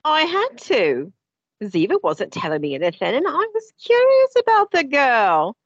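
An actress playing a nosy, impulsive middle-aged daughter who defends herself with a laugh, in an audio drama. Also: female voice, high-pitched, wildly swooping pitch, measured pace, no room sound, exaggerated voice. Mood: defensive. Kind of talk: amateur audio drama acting. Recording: dull lo-fi dry recording.

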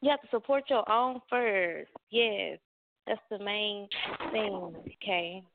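A woman's voice: mid-range voice, slow pace, gravelly. Outgoing woman co-host on phone line. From born in Atlanta, raised in Dallas. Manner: outgoing, agreeable, apologetic with little laughs. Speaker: radio co-host and rapper. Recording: tinny phone-line sound.

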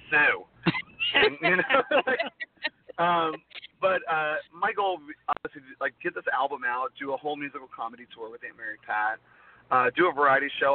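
A man in his thirties, a guest performer, chatting in a rambling way with a voice worn low from screaming. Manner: rambling, heavy use of "like". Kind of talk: casual interview chat. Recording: tinny phone-like line, faint noise.

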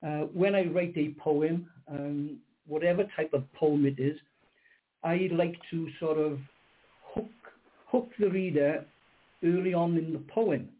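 An older man with a husky voice, a little high for a man, talks haltingly, circling back over his own words. He comes through a narrow, tinny phone line. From Wales. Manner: hesitant, repeats words, many ums. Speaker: guest poet. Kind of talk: radio interview.

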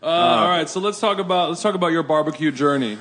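A man hosting a casual podcast chat, relaxed and steering the talk with questions. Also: a little high, fast. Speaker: podcast host.

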